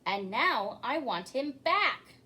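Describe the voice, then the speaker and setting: A woman voicing a bossy male king from a storybook in a booming, commanding manner. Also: big pitch swings, takes it slowly, bright, forceful tone, exaggerated voice.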